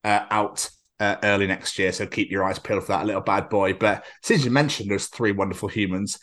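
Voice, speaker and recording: A man's voice: on the low side, fairly even intonation, fairly quick, fairly bright tone. A man co-hosting a podcast, chatting casually and plugging upcoming episodes. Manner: chatty, plugs upcoming content. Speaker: podcast co-host. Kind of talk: casual chat.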